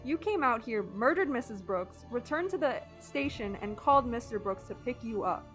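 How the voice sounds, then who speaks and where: A woman playing a methodical police inspector in a radio drama, speaking in a measured, formal way. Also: a little high, expressive, fairly bright tone, faint music behind. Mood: smug, accusing. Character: methodical, knowing police inspector questioning suspects. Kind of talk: amateur radio drama acting.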